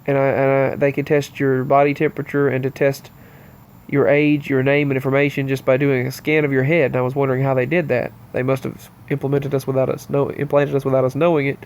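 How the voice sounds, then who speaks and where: A man's voice: mid-range voice, level tone, quick pace, a little mellow. A man hosting his channel, with a stuffy nose from allergies, running loosely and earnestly through a list of strange news in a casual monologue. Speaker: channel host.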